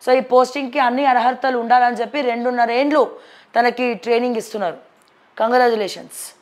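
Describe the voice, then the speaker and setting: High-pitched Telangana woman news presenter. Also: fairly even intonation, quick pace. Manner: conversational, outspoken, mixes in English words. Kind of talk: reading headlines with informal opinionated commentary.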